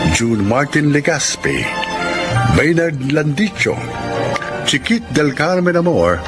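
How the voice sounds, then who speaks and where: Male radio drama announcer, solemn and theatrical, slow with lively intonation, loud music underneath. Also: mid-range voice. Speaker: announcer and narrator.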